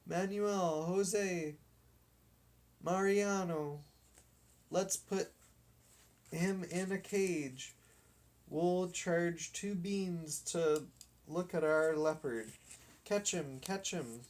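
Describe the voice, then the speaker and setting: A man voicing a bossy, excited boy captain in a storybook, calling out taunting orders. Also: sits high, very slow, long pauses, pitched above the performer's own voice. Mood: eager, commanding.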